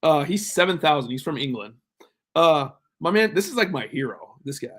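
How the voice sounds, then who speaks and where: A man's voice: on the high side, expressive, a little fast, a bit bright. A man giving his picks in casual chat as a panelist on a sports show.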